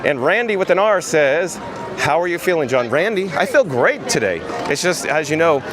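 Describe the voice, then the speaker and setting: A man with a slightly hoarse, raspy voice hosts a live outdoor stream, upbeat, greeting viewers by name and reading their chat messages aloud. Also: on the high side, big pitch swings, moderate pace. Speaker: livestream host. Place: outdoors at a busy theme park street at dusk. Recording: crowd and street noise behind. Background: crowd chatter and outdoor noise.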